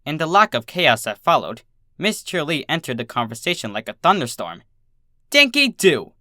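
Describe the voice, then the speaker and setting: Story reader, expressive, really animated pitch, bright forceful voice. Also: brisk, no echo, clean studio sound. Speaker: narrator of fan fiction readings.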